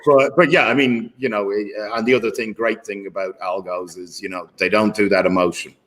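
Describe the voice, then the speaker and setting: A man with a lowish voice talks casually as a guest. He rambles through fillers and restarts, and he is blunt, with the odd swear word. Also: fairly even intonation, a little fast, a bit bright. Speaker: guest market maker. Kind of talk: casual video-call conversation. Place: at home in his city.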